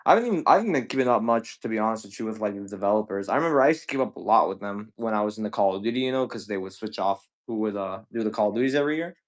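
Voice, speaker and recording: A man's voice: on the low side, lively intonation, very fast, few pauses. A man in his twenties chatting casually and profanely while selling on a livestream, rambling with lots of slang. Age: 20s. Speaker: livestream seller.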